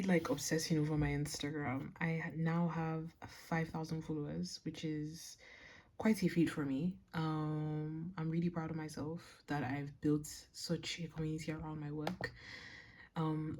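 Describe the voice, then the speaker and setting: Slightly low-voiced young woman, casual diary chat. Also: fairly even intonation, normal speed, a little mellow, a little hiss or hum. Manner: candid, self-assured, reflective rambling. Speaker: vlogger and visual artist. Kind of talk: casual personal video diary.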